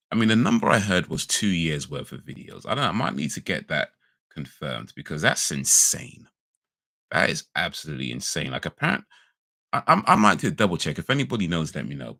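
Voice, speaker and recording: A man talks casually on a livestream in a very deep, gravelly voice. He rambles and corrects himself with laid-back slang, his throat a little crackly. The sound is close and dry, with no room around it. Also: a bit bright, no room sound. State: slightly crackly voice. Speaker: livestream host. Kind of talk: casual chat.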